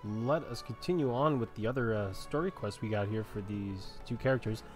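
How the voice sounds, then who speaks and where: A man's voice: fairly low pitch, moderate pace, a little mellow. Man casually commentating over game music. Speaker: gaming streamer.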